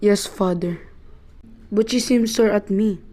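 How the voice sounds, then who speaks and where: Mellow, matter-of-fact son played by a woman. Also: mid-range voice, measured pace, close to an everyday voice. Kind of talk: amateur radio play acting.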